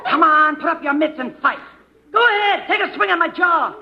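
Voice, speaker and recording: An actress playing a gruff, belligerent female bodyguard with brusque, slangy threats in a vintage radio comedy. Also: extremely high pitch, animated, normal speed, slight background noise. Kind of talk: 1950s radio comedy acting. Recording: muffled vintage radio recording.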